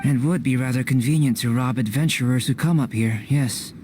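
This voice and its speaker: Game scholar male actor: young, composed, formal and thoughtful delivery, smooth mid-range voice, noisy ambient bed. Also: mid-range voice, fairly quick, lightly acted. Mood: composed, intrigued. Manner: precise, formal, contemplative. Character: calm young male alchemist scholar, measured and analytical. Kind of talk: scripted game cutscene acting.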